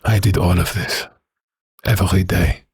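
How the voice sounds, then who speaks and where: An actor playing a huge, brooding, wounded and menacing werewolf prisoner in an audio drama, speaking in halting, deliberate phrases. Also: male voice, deep voice, steady tone, slightly rough, dry recording, clean studio sound, heavily acted. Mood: grieving, haunted. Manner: slow, halting, deliberate delivery.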